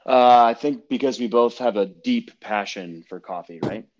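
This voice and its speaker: A man's voice: medium pitch, normal speed, a little mellow, dull audio. A man answering interview questions in a friendly, plain way, with ums and restarts. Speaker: coffee roaster, guest.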